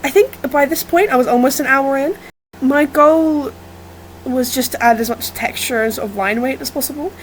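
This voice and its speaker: A woman with a slightly rough, under-the-weather voice gives casual, unscripted commentary on her own artwork in a chatty, self-deprecating way. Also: high-pitched, normal speed, low-quality recording. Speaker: digital artist narrating own speedpaint. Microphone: built-in or improvised, no dedicated mic. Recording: fan noise, no proper mic. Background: whirring fan.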